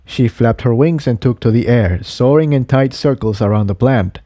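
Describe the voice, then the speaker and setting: A man narrates an adventure story as a scripted reading. His voice is mellow and relaxed, and the recording is close and dry. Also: medium pitch, moderate pace, dry recording. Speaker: audiobook reader of fan fiction.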